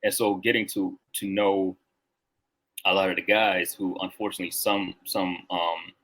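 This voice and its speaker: A man's voice: low voice, flat delivery, moderate pace, a bit bright, rough recording. A man in his thirties from Rwanda, a Marine veteran guest talking casually and reflectively on a podcast, with lots of filler words.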